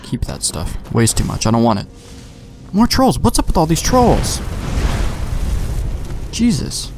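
A man's voice: on the high side, wildly swooping pitch, a little slow, creaky, music in the background. A young man giving offhand, slangy commentary as he plays a video game, talking to himself. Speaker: gamer, let's play host.

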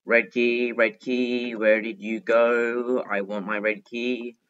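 A man's voice: medium pitch, flat delivery, takes it slowly, fairly bright tone. Chatty male gamer on a dull mic. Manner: chatty, talking to himself, repeats phrases. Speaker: gaming video host. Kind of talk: excitable gameplay commentary. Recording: dull, lo-fi microphone.

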